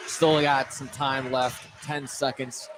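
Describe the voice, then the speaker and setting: Even-toned male basketball announcer, noisy gym. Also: medium pitch, takes it slowly. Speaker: play-by-play sports announcer. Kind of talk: live basketball radio commentary. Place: indoor gym at a basketball tournament. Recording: noisy gym ambience behind.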